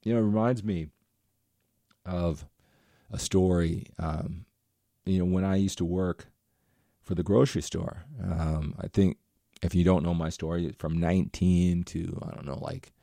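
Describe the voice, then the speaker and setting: A man's voice: low-pitched, fairly even intonation, unhurried, vocal fry, long pauses, dark tone, no room sound, clean studio sound. A middle-aged man talking straight to his viewers in a rambling, earnest motivational monologue. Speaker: motivational vlogger.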